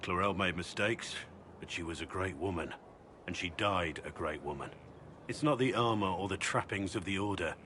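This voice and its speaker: An actor playing an earnest, idealistic warrior of a sworn order in a video game, solemn and reflective. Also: male voice, sits low, moderate pace, bright, forceful tone, slight background noise. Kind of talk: video game dialogue acting.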